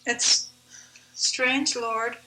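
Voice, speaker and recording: A woman reciting her own devotional poem in a measured, deliberate, reverent manner. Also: a little high, steady tone, pushed and bright, low-quality recording. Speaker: author reading own poem.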